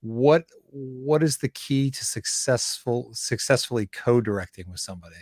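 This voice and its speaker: A man from Canada hosting a casual interview, speaking haltingly and repeating words as he goes. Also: medium pitch, expressive, no room sound, studio quality. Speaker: show host and interviewer.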